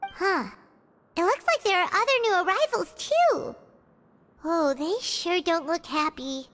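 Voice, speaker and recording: A woman voicing a tiny, excitable floating companion girl who talks about herself in the third person, in video game dialogue. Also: very high voice, unhurried, heavily acted. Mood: curious.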